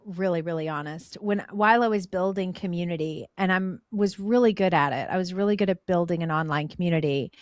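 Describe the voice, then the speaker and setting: A woman's voice: fairly low pitch, fairly quick, few pauses, no room sound. A woman talking as a guest in a candid conversation, earnest and reflective, often restarting and repeating her words.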